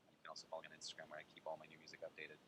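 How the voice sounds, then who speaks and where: A man who co-hosts a podcast and plays music, chatting casually in a self-deprecating way over a noisy, rumbling recording. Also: completely flat delivery, very fast. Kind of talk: casual chat. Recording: clearly noisy with low rumble.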